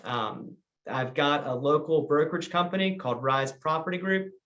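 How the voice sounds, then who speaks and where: A man with a slightly higher than usual pitch welcomes investors to a webinar and walks through the presentation in an organized way. An occasional um breaks up his sentences. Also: normal speed, fairly bright tone, highs cut off. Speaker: webinar host and real estate broker. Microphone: video call.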